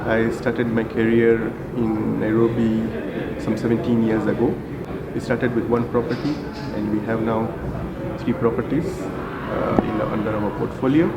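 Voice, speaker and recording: A middle-aged man from India answers interview questions at an easy pace, pausing often with fillers. His voice sits at an ordinary pitch for a man. It was recorded in a reverberant space with loud ambient noise behind him. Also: vocal fry. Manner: unhurried, many fillers and restarts. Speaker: hotel group general manager. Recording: echoey room with loud ambience.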